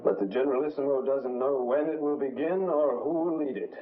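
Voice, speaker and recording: An actor playing a dignified, solemn Latin American president in exile, speaking formally in a 1950s film drama. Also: male voice, medium pitch, a little fast, a little hiss or hum, close to an everyday voice. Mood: courteous. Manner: formal, grave, eloquent. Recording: muffled old film soundtrack.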